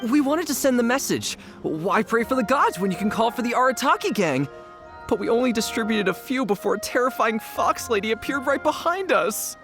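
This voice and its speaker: A woman playing a sheepish, excitable young gang underling in a video game, earnest and nervously defensive. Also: a little high, a little fast, natural voice. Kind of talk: animated video game voice acting. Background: game music.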